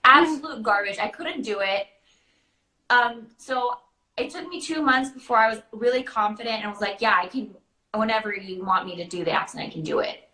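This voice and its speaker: A woman with a bright, forward voice set slightly high talks in a roomy, echoey space. She hosts an informal question-and-answer chat, explaining things in everyday, conversational language. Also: normal speed. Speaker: children's party princess performer and live-stream host.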